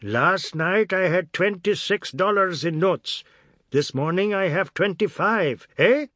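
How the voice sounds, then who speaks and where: A furious drunken man roars accusations and threats, as a male narrator puts him on. His voice is raised, husky and lively in pitch, recorded close and dry. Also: slow pace, no room sound, exaggerated voice. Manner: loud, threatening. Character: angry drunken middle-aged man, menacing.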